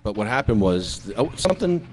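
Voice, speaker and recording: A man's voice: fairly low pitch, sing-song, a little fast, crowd noise. A man co-hosting a live internet radio show, teasing and mock-scolding his co-hosts in loose banter.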